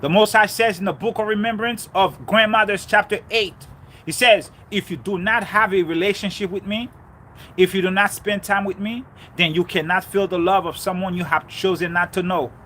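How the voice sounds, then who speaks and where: A man's voice: high voice, steady tone, normal speed, bright, forceful tone, slight background noise. A man from Haiti giving a fervent spiritual talk on faith and relationships, repeating key lines for emphasis. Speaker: spiritual speaker. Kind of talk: preachy motivational talk.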